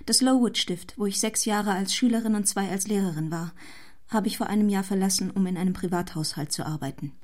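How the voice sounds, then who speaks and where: An actress playing a guarded, proud young governess in a German radio play, speaking in a reserved, formal and composed manner. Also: female voice, mid-range voice, fairly quick, darkish tone, close to an everyday voice. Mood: resolute, reserved.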